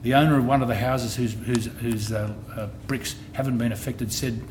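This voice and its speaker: A man's voice: mid-range voice, fairly even intonation. Australian man briefing a public meeting. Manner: measured, restarts phrases often. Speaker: insurance company executive. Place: meeting hall. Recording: noisy meeting room recording.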